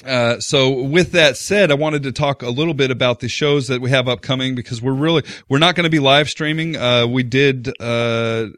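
A man's voice: medium pitch, moderate pace, few pauses, fairly bright tone, no room sound, clean studio sound. A man hosting a podcast in casual chat, rambling along with many fillers and restarts. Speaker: podcast host.

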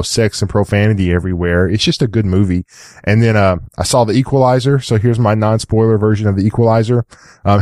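Guy w a kinda low slightly hoarse voice, dry close mic, rambling casual podcast chat. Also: dark tone, dry recording. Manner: rambling, frequent "like" and "you know". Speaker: co-host of a tech chat show. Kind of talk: casual conversational storytelling.

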